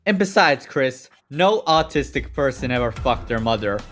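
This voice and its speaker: A man's voice: medium pitch, animated, normal speed, lightly acted. A man voicing a brash, vengeful, foul-mouthed young cartoon villain with a taunting, exaggerated delivery in a fan parody dub. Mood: accusing. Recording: roomy echo, faint music behind.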